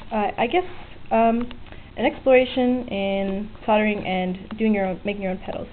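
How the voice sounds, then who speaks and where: A woman's voice: mid-range voice, steady tone, normal speed. A woman giving an informal, self-deprecating, unscripted show-and-tell of a hobby project, a little sweary. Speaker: hobbyist vlogger showing an electronics project. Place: small home work area by an open window. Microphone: handheld camera's built-in mic. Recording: tinny, band-limited camera audio with hiss. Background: faint outdoor weather ambience.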